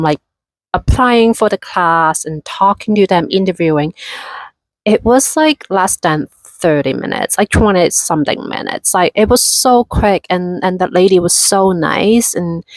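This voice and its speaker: A woman's voice: on the low side, dry recording. A woman in her thirties from Hong Kong with a Hong Kong accent, chatting casually in a vlog with many fillers and restarts. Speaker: vlogger.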